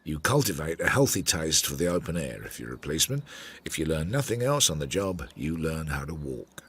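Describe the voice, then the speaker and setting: A man's voice: a bass voice, sing-song, a little fast, a bit bright, husky, full character voice. An actor playing a reflective, wry police detective who narrates his own investigation in a radio drama. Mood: wry, musing. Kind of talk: scripted first-person radio drama narration.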